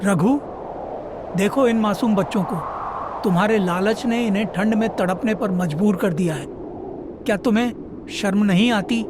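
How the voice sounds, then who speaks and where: Casting: male actor as principled villager, earnest, cartoon moral tale, clear background noise. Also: sits high, normal speed, pauses often, lightly acted. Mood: reproachful. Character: righteous villager urging unity and compassion. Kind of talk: animated moral story dialogue.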